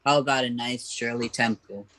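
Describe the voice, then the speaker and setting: Man playing a cheeky, deadpan diner customer. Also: mid-range voice, moderate pace, lightly acted, poor audio. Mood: cheeky, flirty. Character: cheeky male diner customer, flirty and unbothered. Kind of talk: radio comedy play acting.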